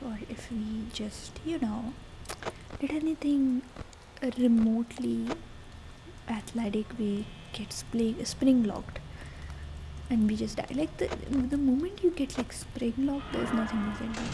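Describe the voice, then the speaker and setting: Indian girl doing soft asmr rambling, kinda high voice w fry, noisy background. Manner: rambling, informal, explanatory. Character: affectionate girlfriend chatting while playing a game. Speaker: ASMR creator. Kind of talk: ASMR chat explaining game lore, mixing Hindi and English.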